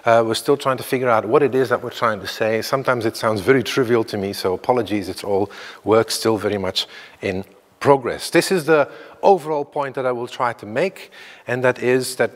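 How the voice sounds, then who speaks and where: A man's voice: medium pitch, brisk. A Belgian man in his fifties giving a tentative, self-deprecating conference talk with many fillers and restarts. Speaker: academic speaker. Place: lecture hall. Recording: reverberant hall.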